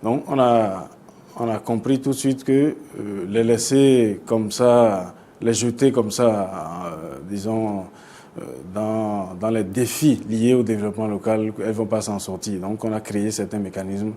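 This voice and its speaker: Mellow, low-voiced Beninese man explaining haltingly. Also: fairly even intonation. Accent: Beninese French. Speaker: expert guest. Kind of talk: explanatory interview answers. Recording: clear background noise.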